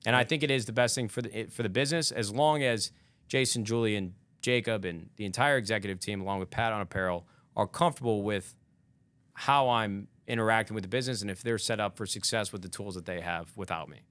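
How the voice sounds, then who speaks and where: A man's voice: lowish voice, brisk, fairly bright tone, dry recording. A man in his thirties talking candidly on a podcast, reflective and rambling. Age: 30s. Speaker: podcast guest. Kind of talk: casual podcast chat.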